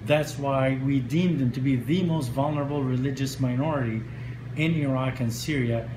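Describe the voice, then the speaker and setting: A man's voice: medium pitch, moderate pace. Earnest man in an echoey room. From Lebanon and Egypt. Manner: earnest, with frequent uh fillers. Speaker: interviewee. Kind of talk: speaking to camera in a news interview. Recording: echoey room with steady noise.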